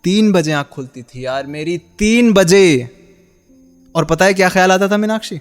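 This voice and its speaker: A heartbroken young husband in a radio drama, played by a male actor, speaks in a slightly high voice. He is bitter and sarcastic, throwing accusing rhetorical questions at his former love. Music plays beneath the dialogue. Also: normal speed, clear, resonant tone, natural voice. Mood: anguished outburst. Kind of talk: Hindi radio play acting.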